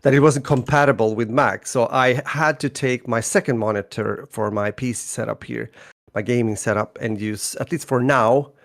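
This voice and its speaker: Creaky-voiced Swedish man chatting. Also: mid-range voice, fairly even intonation, normal speed. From Sweden. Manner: rambling, with frequent restarts and laughs. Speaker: podcast host. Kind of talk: casual chat.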